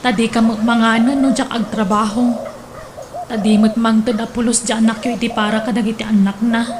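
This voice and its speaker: An actress plays a reproachful grown daughter in an Ilocano radio drama. Her Ilocano-accented voice is fairly high, with clear farmyard noise behind it. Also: level tone, a little fast, natural voice. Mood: firm, reproachful.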